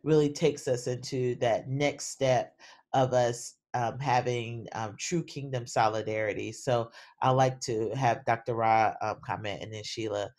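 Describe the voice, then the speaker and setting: A man's voice: medium pitch, a little mellow. Easygoing man moderating a panel. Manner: easygoing, trailing off with fillers. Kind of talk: hosting a panel discussion.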